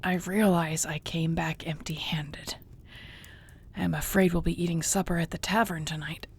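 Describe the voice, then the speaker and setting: A woman playing a battered, tough but affectionate orc girlfriend in an intimate fantasy audio roleplay, with a husky, gravelly voice and a wry, wearily understated way of talking. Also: fairly low pitch, fairly even intonation, moderate pace. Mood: wry, sore. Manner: sighing, conversational, wearily understated.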